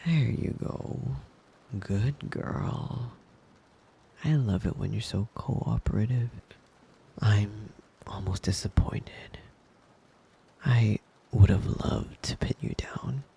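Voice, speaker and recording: A man playing a possessive, doting young wolf-boy lover in intimate ASMR roleplay, coaxing and teasing in a breathy, husky voice that often drops to a whisper. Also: lowish voice, dark tone. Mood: teasing, tender. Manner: slow, coaxing, teasing endearments.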